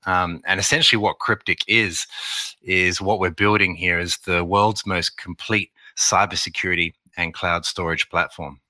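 A man's voice: low-pitched, moderate pace, dry recording. A man with an Australian accent, a marketing officer as a podcast guest, answering at length with many fillers. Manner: relaxed, rambling with many fillers.

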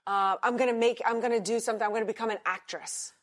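A woman's voice: on the high side, steady tone. An American woman interviewed as a guest, speaking openly about her life with frequent fillers and restarts. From United States. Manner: candid, halting with fillers. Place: hotel in Singapore.

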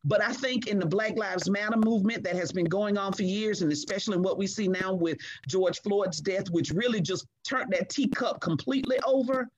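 A middle-aged woman speaking as a guest in a conversation, earnest and emphatic, measured in her delivery. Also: medium pitch, husky, muffled recording. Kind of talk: reflective conversation.

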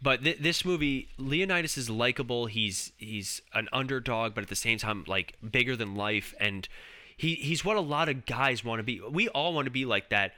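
A man's voice: mid-range voice, animated, normal speed, pushed and bright. A man co-hosting a casual film podcast, talking enthusiastically and rambling with restarts. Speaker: podcast co-host.

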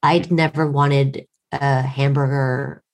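A woman's voice: extremely low pitch, steady tone, slow. A woman in her late thirties with an American accent, telling a wry, self-deprecating story in casual conversation. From United States. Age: late 30s.

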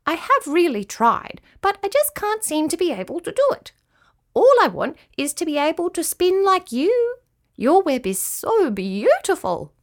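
Woman voicing a high, plaintive little boy cricket. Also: wildly swooping pitch, measured pace, full character voice. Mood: wistful, shy. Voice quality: little, childlike voice. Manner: plaintive, eager. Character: young male cricket, small, earnest, wishful, easily discouraged. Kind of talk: children's storybook character voice.

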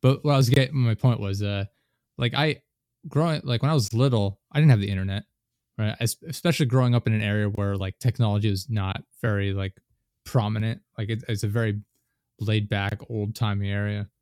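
A man's voice: lowish voice, steady tone, a little fast, darkish tone, clean tone, dry recording, studio quality. A man in his late twenties hosting a casual podcast chat, rambling with many fillers and restarts. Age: 20s. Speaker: podcast host.

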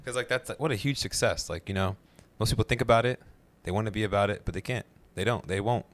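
A man's voice: lowish voice, fast talker. A man hosting a casual interview, chatty and encouraging. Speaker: interview host.

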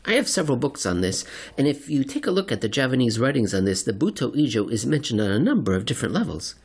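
A man's voice: medium pitch, sing-song, quick pace, slightly rough. A man voicing a crazed, sleepless male conspiracy theorist in an audio story, rambling and earnestly paranoid. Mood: excited rambling. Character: crazed sleepless conspiracy-obsessed male spaceship companion, manic.

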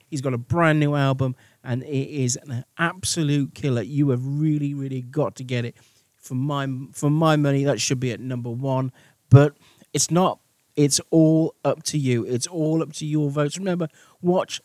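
A man's voice: a little high, steady tone, moderate pace, a little mellow, no echo. A man presenting a music chart show in an enthusiastic radio style, speaking emphatically and repeating phrases for stress.

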